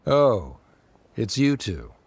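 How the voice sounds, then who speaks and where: Stiff, expressive scholarly father. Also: medium pitch, husky, exaggerated voice. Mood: formal, guarded. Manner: formal, measured, reserved. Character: stiff, formal middle-aged male scholar, awkward reserved father. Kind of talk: video game character dialogue.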